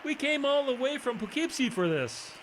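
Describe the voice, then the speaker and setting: A man's voice: very high-pitched, very animated, moderate pace, a bit bright, a little hiss or hum, exaggerated voice. A man playing a pushy, complaining tourist in an audio drama. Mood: griping. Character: pushy, griping male tourist.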